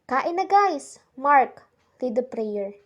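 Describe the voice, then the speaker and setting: A woman's voice: high-pitched, sing-song, slow pace, lo-fi sound, full character voice. A young woman playing the narrator who recounts a frightening island trip in a scripted radio drama. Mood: calling out. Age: young adult.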